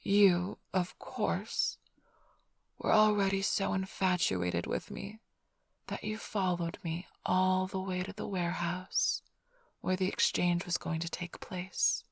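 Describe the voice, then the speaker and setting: A woman performs a female vampire thief for an ASMR roleplay, smug and flirtatious. Her voice is lowish and very breathy, often dropping into a whisper. She teases the listener in an unhurried drawl, recorded close and dry. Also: little pitch movement, no echo, full character voice. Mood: teasing, nostalgic. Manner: teasing, languid, mock-affectionate drawl.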